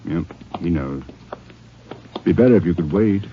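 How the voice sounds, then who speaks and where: Casting: subdued, sympathetic physician for 1950s radio western, low register, dull vintage recording. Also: male voice, animated, normal speed. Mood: grave, sympathetic. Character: grave, sympathetic hospital doctor, a man. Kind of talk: 1950s radio drama acting. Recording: dull old radio recording, faint noise.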